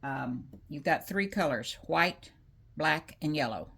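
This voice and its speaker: A woman's voice: low-pitched, animated, takes it slowly, creaky, a bit bright, slightly rough. A woman hosting a casual embroidery tutorial, chatting homely with fillers as she works at her machine. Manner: chatty, homey, talks while working, many fillers. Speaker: crafting channel host. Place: craft room by an embroidery machine. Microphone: speaker placed away from her, voice faint.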